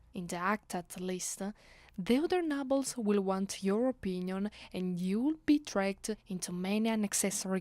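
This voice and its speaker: Casting: woman as seductive aristocratic female vampire, Italian accent, unhurried commanding delivery, close dry ASMR sound. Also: mid-range voice, a little mellow, dry recording, natural voice. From Italy. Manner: imperious, playful, unhurried, breathing into whispers. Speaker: voice actress. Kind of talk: ASMR roleplay.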